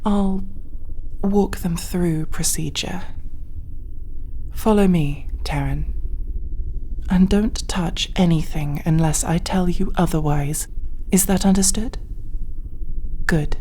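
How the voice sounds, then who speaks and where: A woman's voice: on the low side, takes it slowly, long pauses, warm tone. A woman voicing a stern, condescending female alien officer in a sci-fi audio roleplay, speaking in a curt, formal way. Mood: curt, irritated.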